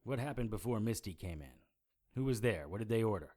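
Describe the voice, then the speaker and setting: A deep voiced noir detective type, dry and sardonic, audio drama hero. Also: male voice, fairly quick. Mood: teasing, dry. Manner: deadpan, wry, sardonic. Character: wry hard-boiled male supernatural investigator hero.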